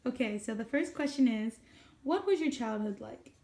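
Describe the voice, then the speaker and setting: A woman's voice: on the high side, lively intonation, normal speed, darkish tone. A young woman delivering a scripted news report as a student reporter and interviewer. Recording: roomy echo with background noise.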